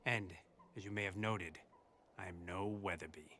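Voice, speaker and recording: A man's voice: deep voice, moderate pace, bright, forceful tone, slight background noise. A man playing a proud, defiant general in a game cutscene, speaking in firm, terse, plain words. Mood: defiant, stern. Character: proud, defiant American lieutenant general, honorable and gruff. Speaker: army general. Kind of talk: scripted game cutscene drama.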